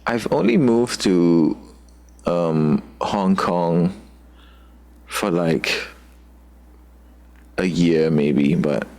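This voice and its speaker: A man from Singapore with a Singaporean English accent, chatting casually as a guest and often pausing to search for words. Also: sits low, expressive, darkish tone. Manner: loose and halting, searching for words with many "like" fillers. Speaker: guest musician. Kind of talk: casual video-call chat.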